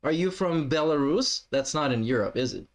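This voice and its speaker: A man's voice: a little high, animated, normal speed. A man doing reaction commentary on stream, mocking and heckling with lots of swearing.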